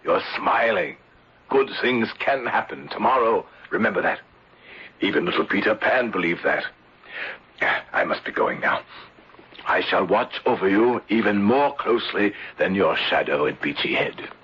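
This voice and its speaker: Husky, low-voiced gentleman investigator. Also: animated, heavily acted. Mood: reassuring. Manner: measured, formal. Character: grave, protective gentleman insurance investigator. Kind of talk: old-time radio drama acting. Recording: dull old radio broadcast.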